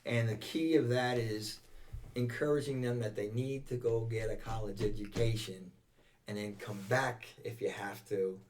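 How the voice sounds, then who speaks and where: A man's voice: lowish voice, fairly even intonation, moderate pace, darkish tone, distant mic, slight background noise. An older man with an American accent chatting casually as an interview guest, often repeating and reworking his phrases. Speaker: interview guest, veteran volunteer firefighter. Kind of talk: casual reminiscing chat.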